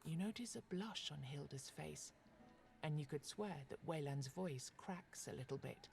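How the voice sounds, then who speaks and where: A fantasy game storyteller, narrating scripted scenes to the player. His voice is slightly high for a man, with clear creak in it. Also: animated, normal speed, a little hiss or hum. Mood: measured.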